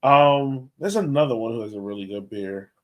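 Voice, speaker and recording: A man's voice: mid-range voice, moderate pace, highs cut off. A man co-hosting a soccer podcast in casual chat, laid-back and thinking aloud, often trailing off. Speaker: podcast co-host.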